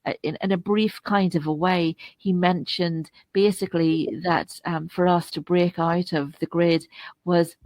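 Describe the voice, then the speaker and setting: A woman hosting a conversational interview, speaking in a halting, circling way and repeating phrases as she works toward her point. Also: fairly low pitch, steady tone, darkish tone, dry recording. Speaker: interview host.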